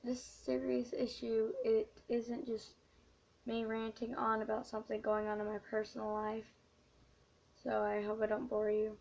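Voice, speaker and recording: A teenage girl vlogging late at night, hoarse and quiet, speaking in a hushed, halting way with many fillers. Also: fairly high pitch, completely flat delivery, lo-fi sound. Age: teens. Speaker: YouTuber. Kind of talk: late-night confessional vlog.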